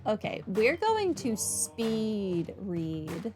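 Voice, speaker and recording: A woman's voice: mid-range voice, big pitch swings, very slow, a little mellow. A woman gaming streamer giving casual, wry, informal commentary on a playthrough. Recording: game music behind voice.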